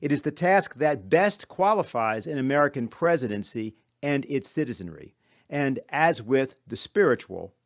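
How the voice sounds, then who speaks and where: Measured male speaker, close dry sound. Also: medium pitch, dry recording. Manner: measured, rhetorical phrasing. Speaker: conference speaker. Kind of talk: prepared formal speech, read from a script.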